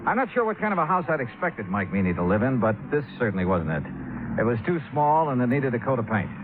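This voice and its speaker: An actor playing a cool, wry, brisk insurance investigator with an American accent, questioning and narrating in a 1950s radio detective drama. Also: male voice, mid-range voice, sing-song, noisy background, lightly acted. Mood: cool narration. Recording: narrow, tinny vintage radio recording, music.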